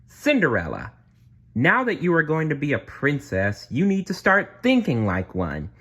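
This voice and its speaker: A man's voice: fairly high pitch, wildly swooping pitch, moderate pace, a bit bright, voice pushed up, heavily acted. A man voicing a stern, bossy castle housekeeper woman from a storybook, emphatic and insistent. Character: bossy, stern female castle housekeeper, snobbish about weddings.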